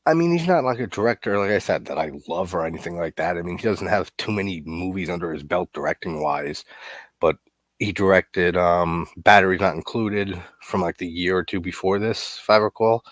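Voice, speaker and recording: A low-pitched man in his thirties talks through a horror movie review in a loose, rambling way, leaning on fillers and the odd curse. His nose is stuffed up from a cold. The recording is close and dry, with no room sound. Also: a little fast, dry recording. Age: 30s. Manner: rambling, filler-heavy, swears casually. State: congested, getting sick. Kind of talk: casual movie commentary.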